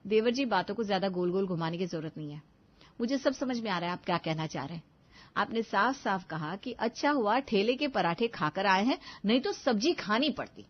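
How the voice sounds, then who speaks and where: A woman's voice: mid-range voice, animated, brisk, lo-fi sound, close to an everyday voice. A woman voicing a weary, wry elder daughter-in-law in a cartoon family story, teasing and scolding as she goes. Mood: suspicious. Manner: wry, scolding, put-upon. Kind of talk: animated family story acting.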